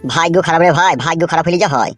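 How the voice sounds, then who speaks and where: A man's voice: fairly high pitch, fast, fairly bright tone, soft background music. A man voicing a foul-mouthed young cartoon villager, complaining crudely and emphatically. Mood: aggrieved. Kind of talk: comic Bengali cartoon dialogue.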